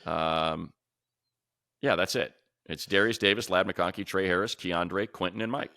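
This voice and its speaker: A man co-hosting a sports talk show, giving casual analysis and thinking out loud as he runs through lists of names. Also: deep voice, unhurried, no room sound, clean studio sound.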